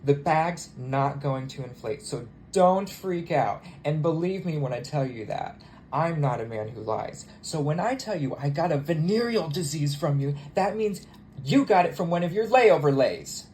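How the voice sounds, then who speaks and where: A man's voice: fairly high pitch, darkish tone, slight background noise, close to an everyday voice, poor audio. Bitter, sarcastic jilted flight attendant, acted by a man. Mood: sardonic. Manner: sarcastic, wounded, emphatic. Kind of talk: theatrical solo monologue as cabin announcement.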